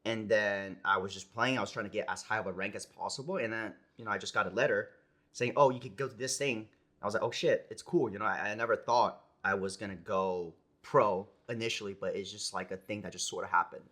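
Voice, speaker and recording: A young American man, a pro gamer, chatting casually in an interview, relaxed and rambling with lots of "like" and "you know". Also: fairly low pitch, brisk. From United States. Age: young adult. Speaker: esports player guest.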